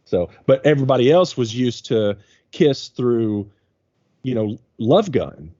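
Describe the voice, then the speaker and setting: Mellow-voiced earnest man in his forties. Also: mid-range voice, animated, unhurried, dry recording. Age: 40s. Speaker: podcast guest. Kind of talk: casual chat. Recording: dull lo-fi podcast audio.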